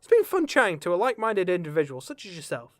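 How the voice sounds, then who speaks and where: A man's voice: high voice, huge pitch swings, fast, a bit bright, dry recording, exaggerated voice. A man playing a flippant, chatty adventuring storyteller in a comedy fantasy audio drama, with a glib, colloquial manner.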